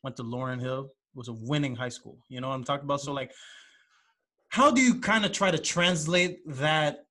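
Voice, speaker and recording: A man hosting a casual podcast chat in a relaxed way, asking rambling questions and giving frequent small replies. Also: mid-range voice, moderate pace. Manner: relaxed, gives frequent mm-hmm and right. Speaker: podcast host.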